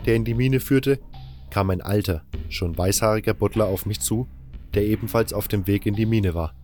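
Guy narrating an audiobook in first person, lowish voice, faint music behind. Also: moderate pace, a little mellow.

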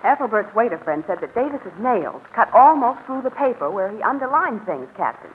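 An actress playing a keen, alert young female sidekick with an expressive delivery in 1940s radio drama style. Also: fairly high pitch, a little fast, slight background noise. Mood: keen, curious. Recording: tinny vintage radio broadcast with music.

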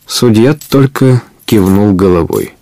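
Male narrator, fairly low pitch, expressive storybook narration, scripted audio play. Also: unhurried, no echo.

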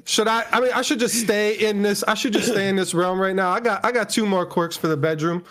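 A man joking and rambling excitably in a casual group chat. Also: high-pitched, fairly quick.

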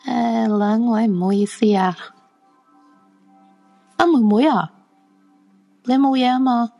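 An actress playing an eager, self-assured crystal fortune-teller thrilled by ghosts, in a Hong Kong Cantonese radio drama. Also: female voice, fairly high pitch, slow pace, creaky, faint music behind, heavily acted. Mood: helpful, attentive. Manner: eager, friendly, animated.

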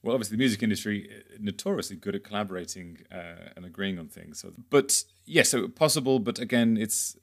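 A man with a fairly low voice hosts a podcast interview. He moderates casually, with wry phrasing and hesitant pauses as he frames things. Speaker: podcast host.

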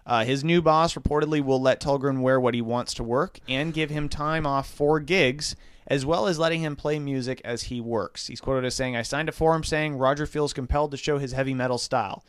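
Smooth-voiced man in casual podcast chat. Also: medium pitch, brisk, dry recording. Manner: relaxed, hesitant with many fillers. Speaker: podcast co-host reading news stories.

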